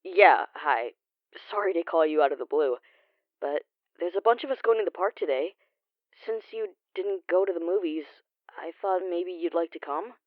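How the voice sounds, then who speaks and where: A woman's voice: lowish voice, lively intonation, normal speed, dry recording. A woman playing a friendly, considerate teenage schoolmate over a phone filter, casual and a little tentative. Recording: tinny phone call sound.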